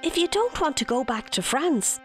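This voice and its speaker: A woman's voice: sits high, sing-song, normal speed, soft background music, pitched above the performer's own voice. A woman voicing an earnest young man in a storybook tale, pleading and remorseful.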